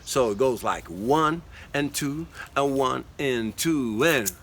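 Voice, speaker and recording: Slow-spoken man teaching percussion. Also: mid-range voice, expressive, a bit bright, a little hiss or hum. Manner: slow, explanatory, counting beats aloud. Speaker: music teacher. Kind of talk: demonstrating percussion outdoors. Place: outdoors by a tree.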